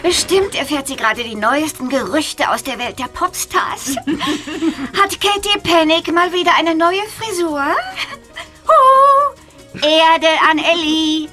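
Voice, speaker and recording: Teasing schoolgirl, audio drama, really high bright voice, playful. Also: expressive, slow pace, soft background music, heavily acted. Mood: mocking, amused. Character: teasing, spirited teenage boarding-school girl.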